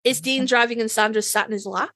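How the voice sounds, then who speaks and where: A woman co-hosting a casual, joking fan podcast, telling things in an animated way and swearing freely. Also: on the high side, fast. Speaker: podcast co-host.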